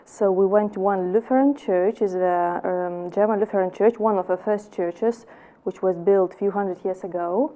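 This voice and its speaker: Lithuanian-accented woman, soft and mellow. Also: medium pitch, steady tone, dull audio. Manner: halting, with many restarts and fillers. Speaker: interview guest. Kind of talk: personal testimony in an interview.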